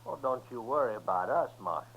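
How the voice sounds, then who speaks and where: A man's voice: mid-range voice, expressive, brisk, a bit bright. A man playing a surly, suspicious American gunman in a 1950s radio western, curt and folksy in his speech. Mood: guarded. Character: surly, suspicious male Old West gunman. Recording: dull vintage radio recording.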